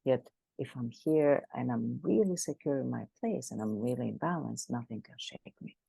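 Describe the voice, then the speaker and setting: A woman speaking as the expert guest in an interview, animated and emphatic, often restarting her sentences. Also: a bass voice, moderate pace, gravelly, slightly rough, no echo. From Greece. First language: Greek. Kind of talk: conversational interview.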